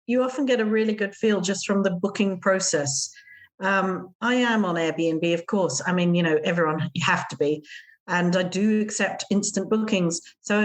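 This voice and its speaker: A woman's voice: mid-range voice, normal speed. A middle-aged woman with an English accent, chatting casually as a podcast guest about hosting travellers. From England. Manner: chatty, acts out guests' voices in quotes. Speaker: gîte owner, guest.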